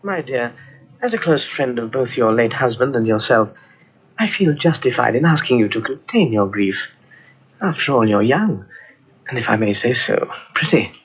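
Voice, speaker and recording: An actor playing a formal, gentlemanly, solicitous family doctor with a husky voice in an old radio drama. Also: male voice, medium pitch, lively intonation, normal speed. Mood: courting, earnest. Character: courtly, solicitous male family doctor and suitor. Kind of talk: old-time radio acting. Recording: dull old radio recording.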